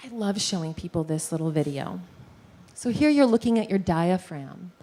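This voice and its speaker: A woman giving a lively presentation on posture and breathing to a live audience in a meeting room, guiding them through movements. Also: fairly low pitch, moderate pace, a little hiss or hum. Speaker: posture and breathing teacher giving a workplace talk.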